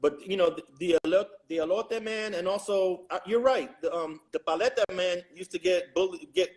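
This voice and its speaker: A guest talking things through in a thoughtful, halting way, often restarting his sentences. A man with a somewhat high voice. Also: low-quality recording. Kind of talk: discussion.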